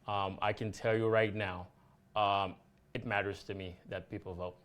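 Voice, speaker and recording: A man's voice: lowish voice, little pitch movement, moderate pace, a bit bright. A man from Haiti, a guest on a talk show, speaking earnestly with many ums. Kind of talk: casual chat.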